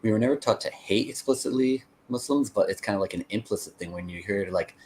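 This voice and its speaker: Male, American, low register. Interview guest who explains and meanders as he tells his story. Slight background noise. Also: fast talker, a little mellow, poor audio. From United States (Connecticut). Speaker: interview guest, peace activist. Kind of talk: conversational storytelling.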